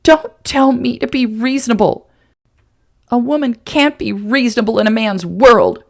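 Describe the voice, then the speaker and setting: A woman voicing a stubborn, defiant young woman who speaks high and indignant. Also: normal speed, creaky.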